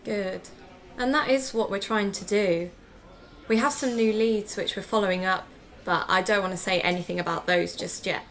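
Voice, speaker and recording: A woman's voice: medium pitch, moderate pace, fairly bright tone, close to an everyday voice. An actor playing an earnest, polite woman who investigates mysteries for a podcast, in scripted audio drama. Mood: polite, sympathetic. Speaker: podcast host and narrator.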